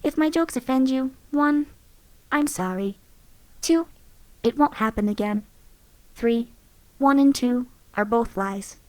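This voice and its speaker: A woman voicing a sardonic female game companion with dark, deadpan humor. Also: fairly high pitch, slow pace, no echo, poor audio. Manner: deadpan, mocking delivery.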